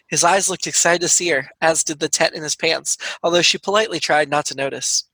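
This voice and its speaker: A man with a fairly high, very bright voice reads a comic piece of fiction from a script. The sound is dry and close, with little room around the words. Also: brisk, no echo. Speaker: comedy troupe writer reading own story. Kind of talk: reading a scripted comic erotic story aloud.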